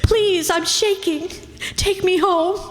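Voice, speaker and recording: A woman's voice: extremely high pitch, fairly even intonation, slow. A woman playing a headstrong, anxious young society woman in an old-time radio crime drama. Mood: pleading. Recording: echoey hall.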